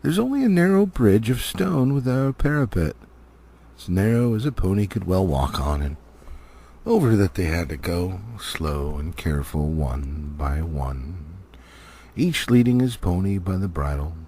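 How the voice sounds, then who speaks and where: A man reading a fantasy storybook aloud in an animated, expressive way, stumbling now and then. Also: low voice, unhurried, breathy edge. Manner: animated, slightly stumbling cold read. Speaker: amateur audiobook reader.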